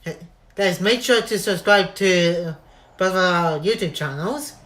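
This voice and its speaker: A man's voice: high-pitched, measured pace, pushed and bright. A young man hosting a casual live stream with a friend, answering in short replies. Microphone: voice call.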